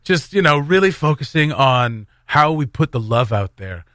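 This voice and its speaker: A man's voice: fairly high pitch, sing-song, normal speed, a bit bright, no echo, clean studio sound. A man hosting a morning radio show with casual, joking, rambling banter and announcements. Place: radio studio.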